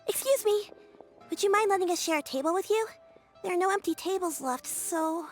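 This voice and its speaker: Very high-voiced, polite young girl. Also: animated, brisk, faint music behind. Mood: polite, friendly. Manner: earnest, friendly, youthful. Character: polite, caring young girl looking after her granny. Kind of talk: video game voice acting.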